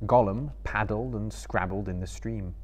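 A man in his thirties reads literary fiction aloud as a dramatic storyteller. His voice sits low and has a husky grain. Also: a little slow. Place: book-lined room before a small live audience.

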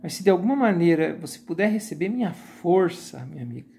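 A man in his forties with a Brazilian accent, sitting on a sofa and talking warmly and supportively into his phone in a casual live stream. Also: high-pitched, lively intonation, a little fast, gravelly, slight background noise. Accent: Brazilian Portuguese. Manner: warm supportive, many filler tags. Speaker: YouTube channel host and moderator. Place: living room sofa, indoors. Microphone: phone camera held close.

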